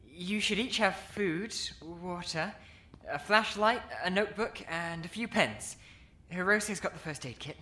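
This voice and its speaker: A woman's voice: on the low side, unhurried, sharp tone. A woman playing a careful, organized young woman who talks in a methodical way.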